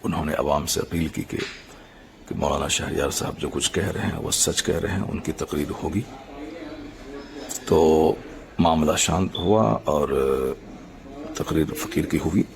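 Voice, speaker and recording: Very gravelly, low-voiced man. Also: crowd noise. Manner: formal, measured, halting in short phrases. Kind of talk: explanatory public statement.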